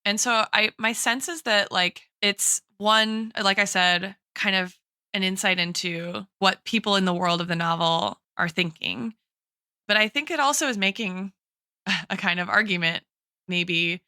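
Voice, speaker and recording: A woman's voice: medium pitch, unhurried, sharp tone, clear, resonant tone, no room sound, clean studio sound. A woman in a thoughtful literary podcast discussion, reflective and analytical, often checking in with "right" and "like". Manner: reflective, analytical, hedging with frequent tags like "right" and "like". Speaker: podcast host and guest expert, a teacher.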